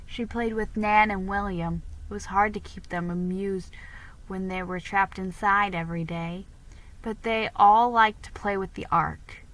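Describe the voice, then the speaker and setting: A woman giving an expressive, deliberate dramatic reading of a children's story. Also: mid-range voice, low-quality recording. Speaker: reader.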